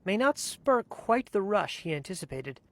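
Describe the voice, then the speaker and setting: A man's voice: sits high, big pitch swings, moderate pace, fairly bright tone. A man narrating a news report in a scripted, evenly paced style.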